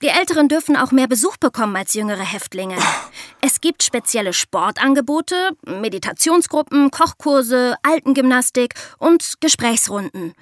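A woman's voice: sits high, animated, normal speed, no echo. A woman co-hosts a podcast. She explains things in a conversational way, in a dry, close recording. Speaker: podcast co-host.

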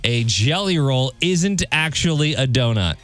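A man working as a radio quizmaster, with a mid-pitched voice. He delivers trivia questions and light banter in an upbeat hosting style. Music plays faintly underneath him. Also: lively intonation, measured pace, a bit bright. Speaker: radio show host, quizmaster. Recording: music bed behind the voice. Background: background music.

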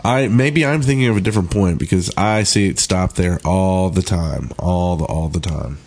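Man, deep low voice, casual gaming discussion, podcast panelist. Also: normal speed, a little mellow.

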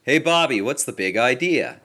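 Brief: bright-voiced male listener from Vermont, slow and sing-song, playful. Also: medium pitch, expressive. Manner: playful, drawn-out announcer-style delivery. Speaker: listener sending a recorded intro.

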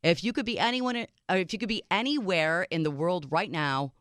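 Upbeat radio co-host lady, first language serbian, smooth voice, dry studio sound. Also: lowish voice, moderate pace, fairly bright tone, no echo. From Minneapolis, Minnesota. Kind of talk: casual radio talk.

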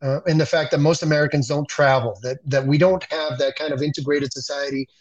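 A man's voice: on the high side, steady tone, a little fast. Earnest man on a dull, lo-fi line. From Dominican Republic. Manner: earnest, frequent restarts and repeated words. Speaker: communications expert guest. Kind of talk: political interview conversation.

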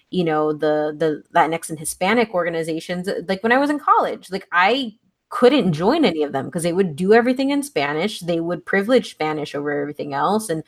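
A woman from Texas in casual conversation, earnest and thoughtful, often restarting her sentences with "like". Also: deep voice. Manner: earnest, frequent "like" fillers and restarts.

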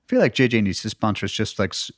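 A man's voice: on the low side, very animated, rapid-fire, no room sound, studio quality. A man in his forties co-hosting a podcast, chatting casually with playful, self-mocking humor. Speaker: podcast co-host, actor. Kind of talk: casual chat.

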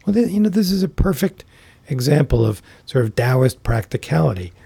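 Mellow, husky-voiced man telling a story. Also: medium pitch, very animated, no room sound. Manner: unhurried, thoughtful, many fillers and restarts. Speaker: podcast guest, tai chi teacher. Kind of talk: reflective storytelling in conversation.

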